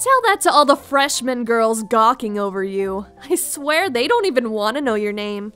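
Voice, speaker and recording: Animated series: tough, sassy upperclass girl, high and forceful, teasing and blunt. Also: normal speed, clean tone, faint music behind. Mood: brash, irritated. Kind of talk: animated roleplay voice acting.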